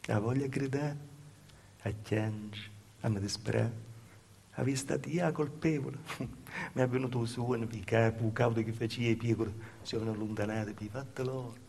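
A man's voice: medium pitch, moderate pace, gravelly, pauses often, a little mellow, lightly acted. A male stage actor playing a humble, naive peasant woman with a Calabrian accent, telling her hard life in a plaintive dialect monologue that circles back over its own words. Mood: resigned, sorrowful. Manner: plaintive storytelling with insistent repetitions. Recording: live stage recording with faint noise.

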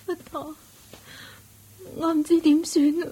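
A woman acts out a naive young maid in an old Cantonese radio drama. Her voice is high and soft, with dreamy, childlike wonder. She speaks gently and simply. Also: level tone, unhurried. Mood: bewildered. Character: naive, vain young servant girl.

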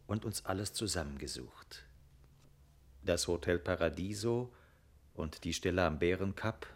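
A man performs a reflective radio play narrator with a low voice. He muses aloud and turns his telling into questions, as if thinking it through. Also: lightly acted. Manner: musing, rhetorical questioning.

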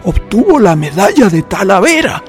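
Guy playing old chilean gentleman storyteller, radio play, highish voice that swoops around, music under it. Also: sing-song. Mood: solemn narrating. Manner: theatrical, unhurried, storytelling. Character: courteous, theatrical old man, storyteller host. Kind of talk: Spanish-language radio drama acting.

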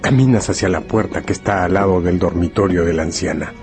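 A man narrating a radio novel in a solemn, deliberate, dramatic second-person style. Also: sits low, creaky. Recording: music bed under the voice. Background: music.

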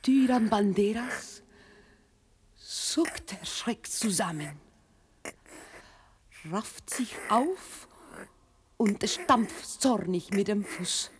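An actress narrating a dark radio drama in a deliberate, dramatic manner, in short measured phrases. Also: female voice, mid-range voice, animated, a little hiss or hum. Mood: tense. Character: grave female narrator of a dark tale. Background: music.

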